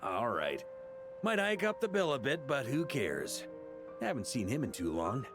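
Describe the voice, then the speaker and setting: A man's voice: medium pitch, wildly swooping pitch, measured pace, music in the background. A man playing an easygoing, jovial knight grandmaster in a video game, with a breezy, joking, theatrical manner. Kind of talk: scripted video game voice acting.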